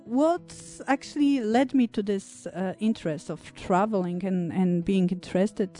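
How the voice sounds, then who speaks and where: A woman in her thirties from Poland, an English speaker, talking reflectively as an interview guest, with many pauses and fillers. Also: medium pitch, mellow, faint music behind. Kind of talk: conversational interview.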